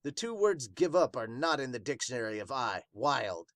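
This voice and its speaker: A man's voice: mid-range voice, big pitch swings, normal speed, fairly bright tone, no room sound, studio quality. A man voicing an earnest warrior beast, a devoted big brother, in a formal, dramatic manga dub.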